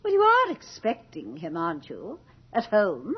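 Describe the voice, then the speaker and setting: Husky, imperious noblewoman, swooping theatrical delivery, callous society hostess, radio drama. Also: mid-range voice, wildly swooping pitch, unhurried, full character voice. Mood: brisk, imperious. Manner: haughty, theatrical, commanding. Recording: dull 1980s radio drama recording.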